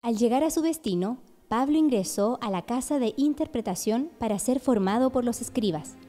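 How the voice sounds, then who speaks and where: Fairly high, mellow woman narrator. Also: expressive, moderate pace. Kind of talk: scripted radio drama narration. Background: faint music.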